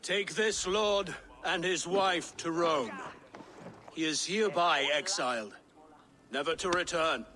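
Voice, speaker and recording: An actor playing a solemn, authoritative lord in a video game, formal and deliberate. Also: male voice, fairly high pitch, pushed and bright. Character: solemn, authoritative lord passing sentence of exile. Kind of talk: dramatic video game acting.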